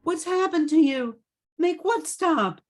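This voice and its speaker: Casting: no-nonsense woman boss played by a woman, high-pitched and expressive, reassuring, brisk, radio drama. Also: full character voice. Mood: concerned. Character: brisk, practical female literary agent and boss.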